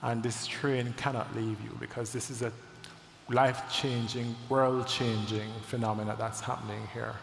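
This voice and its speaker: A man of average pitch speaks deliberately as he presents a formal event and introduces speakers. His voice carries a long reverb from a large hall, with faint ambience behind it. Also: level tone, creaky, a little hiss or hum. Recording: echoey hall, heard from a distance.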